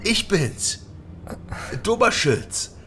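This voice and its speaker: An actor playing an earnest, insistent man in radio drama dialogue, speaking in halting short phrases. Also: sits high, sing-song, bright, forceful tone, faint music behind, exaggerated voice. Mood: urgent, pleading. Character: younger detective, earnest and insistent, greeting old acquaintance.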